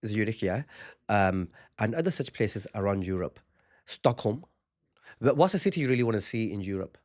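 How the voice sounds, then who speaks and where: A man's voice: fairly low pitch, expressive, moderate pace, a little mellow. Playful man hosting a comedy podcast. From England. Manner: playful, teasing, self-aggrandizing. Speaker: podcast host, stand-up comedian. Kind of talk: casual comedic chat.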